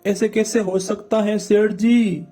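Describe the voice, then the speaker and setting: A man's voice: high voice, fairly even intonation, slow, dark tone, pitched above the performer's own voice. A man voicing a humble, earnest poor village father in a cartoon moral story. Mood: protesting. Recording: music bed under the voice.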